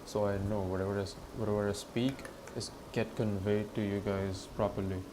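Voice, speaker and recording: A man's voice: on the low side, flat delivery, moderate pace, background noise. A young man streaming live, talking casually, repeating himself and swearing now and then. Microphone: headphones with monitoring.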